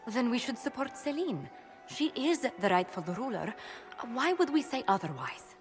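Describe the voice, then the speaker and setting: A woman playing a poised, sharp court advisor in a fantasy game, articulate and emphatic. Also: fairly high pitch, sing-song, moderate pace. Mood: concerned, pointed. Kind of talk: fantasy game cutscene acting. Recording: faint music behind the voice.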